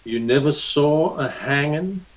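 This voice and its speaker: A man's voice: fairly high pitch, soft background music, poor audio. An American man playing a menacing, mocking cowboy bully in an old-time radio Western. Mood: sly, probing. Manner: mocking, slow-drawling taunts. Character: menacing cowboy bully, sneering ex-Confederate guerrilla.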